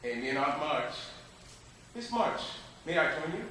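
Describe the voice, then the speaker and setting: In a very echoey hall with music, a kindly elderly gentleman, played by a young man talks from far off. His voice is high for a man and swings widely in stage-musical style. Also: sing-song, a little slow, slight background noise, exaggerated voice. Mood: kindly, informative. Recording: echoey hall, distant mic.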